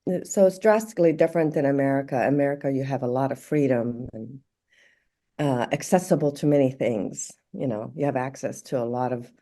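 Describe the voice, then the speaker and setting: A woman from Morocco, a podcast guest, speaking warmly and reflectively, halting often with fillers and restarts. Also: extremely low pitch. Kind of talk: casual interview conversation.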